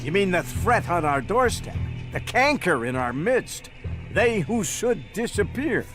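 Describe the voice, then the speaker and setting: A man's voice: high-pitched, sing-song, a little slow, a bit bright, soft background music, exaggerated voice. A man playing an officious, grudging city guard in a video game, with a pompous, theatrical delivery. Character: officious, grudging, self-important male city gate guard. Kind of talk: video game dialogue acting.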